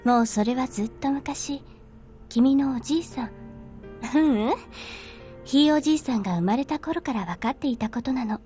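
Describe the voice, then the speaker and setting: An actress plays a tender, solemn anime big sister. She speaks in a high voice as if consoling a small child, over faint music. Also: fast, mellow, lightly acted. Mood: gentle, solemn. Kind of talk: Japanese visual novel voice acting.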